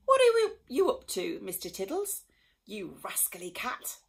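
A woman doing an excitable shrill little boy. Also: measured pace, heavily acted. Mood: excited. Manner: high, loud, exclaiming. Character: excitable young boy Harry.

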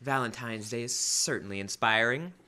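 A man's voice: medium pitch, normal speed, pushed and bright. A young man playing a polite, put-upon husband in an old-time radio comedy. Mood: wry. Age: young adult. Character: exasperated, polite husband shopping for his wife. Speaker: high school student actor. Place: school library room, live staged radio show. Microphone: shared stand microphone.

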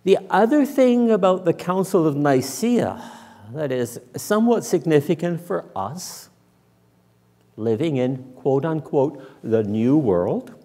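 An older man preaches in a mellow, soft-edged voice that carries through a reverberant church. He speaks slowly and deliberately, in the conversational style of a minister explaining things to a congregation. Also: on the high side, very animated. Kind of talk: conversational sermon. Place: large church sanctuary, indoors.

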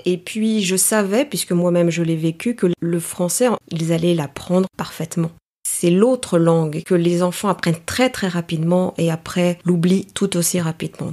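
A middle-aged woman, a coach, answering interview questions in a calm, measured way with frequent hesitations. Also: on the low side. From France. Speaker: coach, guest. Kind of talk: podcast interview.

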